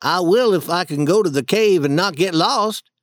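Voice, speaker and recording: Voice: medium pitch, moderate pace, no room sound, studio quality, voice pushed up, lightly acted. A storybook reader voicing a timid, superstitious young boy who speaks hesitantly and stammers. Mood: nervous.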